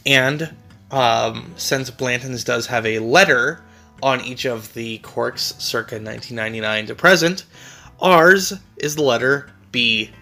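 A man's voice: medium pitch, unhurried, gravelly, pushed and bright, soft background music. A man co-hosting a casual bourbon tasting chat, speaking in a dry, matter-of-fact and knowledgeable way. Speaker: co-host of a bourbon show.

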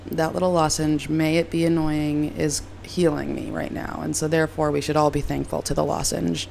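Young female podcast host, hoarse and raspy from a cold, gravelly and chatty, over faint background noise. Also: low voice, little pitch movement, normal speed, darkish tone. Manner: rambling and self-deprecating. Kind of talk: casual solo podcast chat. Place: moved from Reno to LA, recording at home.

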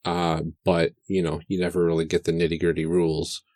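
Lower voiced guy, kinda unsure, ums a lot, dry close mic. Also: completely flat delivery, brisk, darkish tone, dry recording. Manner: hesitant, many fillers, thinking aloud. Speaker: player. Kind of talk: casual tabletop chat.

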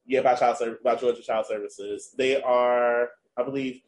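A man's voice: medium pitch, fairly even intonation, normal speed, darkish tone, slight background noise. A man hosting a casual podcast, recounting a news story to his cohosts in a storytelling way. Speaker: podcast host.

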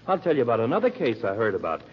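An actor playing a gossiping American barber with a rambling, colloquial storytelling manner, in 1940s radio comedy style. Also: male voice, medium pitch, very animated, rapid-fire, close to an everyday voice. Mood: gossipy, warning. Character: chatty, gossiping small-town male barber. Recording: muffled, noisy old radio recording.

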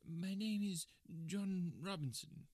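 A man's voice: sits high, takes it slowly, dark tone, dry recording, studio quality, pitched above the performer's own voice. A man voicing a cringing, nervous little man with a thin, whining, quavering voice in an audiobook story. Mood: hesitant, uneasy. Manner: hesitant, pleading.

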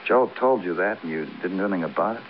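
An actor playing a world-weary big-city detective, blunt and brooding, in a 1950s hardboiled radio crime drama. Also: male voice, sits low, animated, fast talker, a little hiss or hum. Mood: pressing. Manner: blunt questioning, brooding poetic narration. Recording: dull old radio broadcast.

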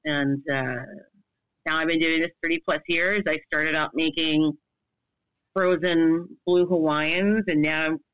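Loud lively bartender lady in her 50s, bright tone, dead dry recording. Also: sits low, measured pace, clear, resonant tone, no echo, highs cut off. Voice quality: loud, well-projected voice. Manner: animated, laughs often. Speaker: bartender and spirits brand ambassador. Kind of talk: conversational interview answers.

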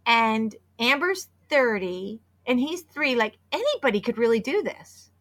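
A woman in her fifties co-hosting a podcast, chatty and excitable, hoarse from losing her voice. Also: a little high, unhurried. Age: 50s. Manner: chatty and excitable, with many restarts. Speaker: podcast co-host. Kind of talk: casual podcast chat with sponsor read.

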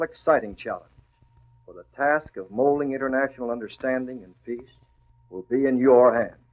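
A man delivering a scripted 1940s radio message to young listeners in an earnest, oratorical manner. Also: medium pitch. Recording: tinny, narrow-band old radio recording.

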